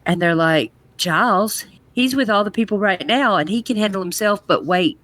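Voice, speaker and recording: A woman's voice: medium pitch, moderate pace, a bit bright. A woman co-hosting a podcast, casually recapping and chatting about a show. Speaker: podcast co-host. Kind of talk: casual chat, retelling episode.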